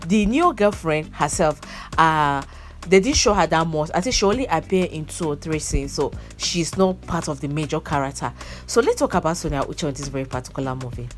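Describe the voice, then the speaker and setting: A woman's voice: low-pitched, expressive, fairly quick, soft background music. A young woman chatting casually about a movie, repeating herself and breaking into laughs. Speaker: movie reviewer. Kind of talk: casual movie review chat.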